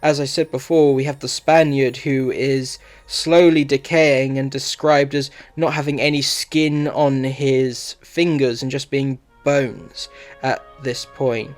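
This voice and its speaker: A man's voice: mid-range voice, flat delivery, slow, soft background music. A man giving a scripted review narration as a reviewer.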